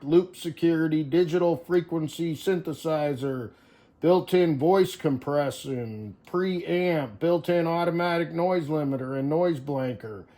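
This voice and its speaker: Male video host, somewhat higher-pitched voice, informal hands-on show-and-tell, rambling and thinking out loud.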